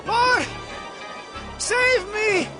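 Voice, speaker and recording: A man's voice: very high-pitched, extremely slow, over loud music, heavily acted. An actor playing an anxious, impulsive disciple crying out urgently to his master in a dramatized scripture recording. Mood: urgent pleading. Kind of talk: dramatized scripture audio acting.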